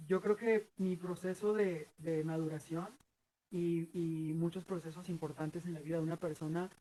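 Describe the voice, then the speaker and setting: A man's voice: on the high side, steady tone, normal speed, mellow, a little hiss or hum, low-quality recording. A Mexican man in his thirties answering interview questions earnestly, rambling with many fillers and restarts. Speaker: guest.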